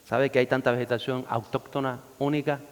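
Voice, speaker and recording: A man's voice: mid-range voice, level tone, a little fast, clean tone. A man, a pastor, preaching a sermon and lifting his voice on scripture quotes. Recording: long reverb of a hall.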